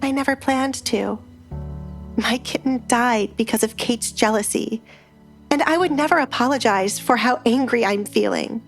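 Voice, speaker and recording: Woman voicing a timid young noblewoman, higher-pitched. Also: moderate pace, soft background music. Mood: hurt, angry. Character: timid young noblewoman, hurt, angry and hesitant.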